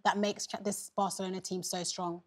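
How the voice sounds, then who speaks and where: A young woman hosting a game show in a bright studio, upbeat, with bursts of excited cheering. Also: mid-range voice, a little fast.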